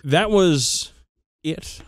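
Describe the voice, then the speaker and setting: Guy cohosting a podcast, clear voice, dry close sound, casual and teasing, swears a bit. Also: a little high, expressive, drawn-out words, dry recording. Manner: profane, teasing. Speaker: podcast co-host. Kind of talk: casual, bantering podcast chat.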